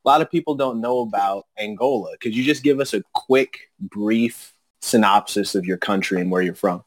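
A man's voice: fairly low pitch, normal speed, no echo. A man hosting a podcast, asking questions in a casual, conversational interview style.

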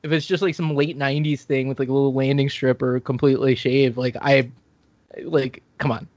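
A man's voice: mid-range voice, little pitch movement, brisk, darkish tone, dry recording, dull audio. A man hosting a podcast, recapping TV in casual, rambling, profane banter. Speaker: podcast host.

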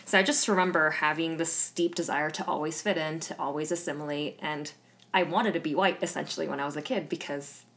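Low-voiced young woman reflecting. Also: fairly even intonation, a little fast, fairly bright tone. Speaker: guest. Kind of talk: personal storytelling in a discussion.

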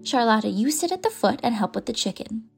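A woman's voice: fairly high pitch, very animated, fairly quick, gravelly, breathy edge, faint music behind, exaggerated voice. A woman reading as a whimsical forty-five-year-old lady. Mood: warm. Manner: fond, playful, pretends freely. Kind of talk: audiobook character reading.

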